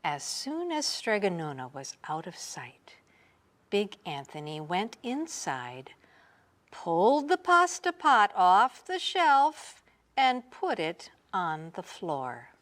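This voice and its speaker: A woman reading a children's picture book aloud in an expressive, dramatic storytelling manner. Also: medium pitch. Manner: unhurried, dramatic storytelling with emphasis. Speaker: storybook reader.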